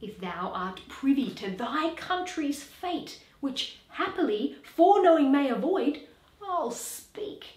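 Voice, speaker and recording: Woman doing a solemn learned nobleman reciting shakespeare, awed but commanding, kinda high voice, echoey room. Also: very animated, exaggerated voice. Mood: fearful, urgent. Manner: deliberate, declamatory, with hushed dips. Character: grave scholarly Danish nobleman Horatio, awed yet commanding. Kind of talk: dramatic Shakespeare verse reading.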